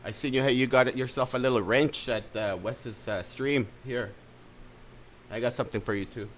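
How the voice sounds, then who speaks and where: Casting: streamer guy, mid-pitched, snarky, swears a lot, rambles while reacting. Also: fairly even intonation, normal speed, a bit bright, slight background noise. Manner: sarcastic, crude, rambling. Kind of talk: mocking reaction commentary.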